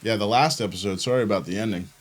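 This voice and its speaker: A man's voice: lowish voice, racing. A man co-hosting a podcast in a loose, casual chat, swearing freely and often slipping into silly voices. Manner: playful, swears a lot, slips into silly voices. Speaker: podcast co-host.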